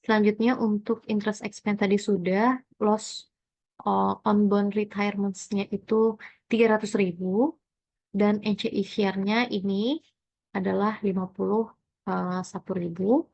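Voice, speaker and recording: Halting young woman, dull dry recording. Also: medium pitch, steady tone, vocal fry, darkish tone, dry recording. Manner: halting, hesitant, thinking aloud. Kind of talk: informal accounting tutorial walkthrough.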